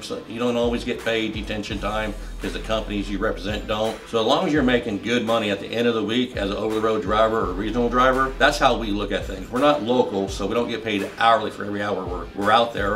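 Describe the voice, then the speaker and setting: Plainspoken trucker, low voice. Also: male voice, a bit bright. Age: 50s. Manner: plainspoken, matter-of-fact, lists in short bursts. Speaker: truck driver. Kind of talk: answering interview questions.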